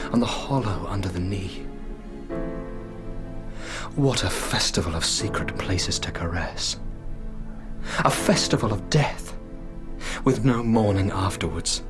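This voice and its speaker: A man's voice: on the low side, expressive, unhurried, pauses often, music in the background. An actor playing an earnest, lovesick young man with an impassioned, poetic delivery in a literary radio drama. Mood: rapt, sensual.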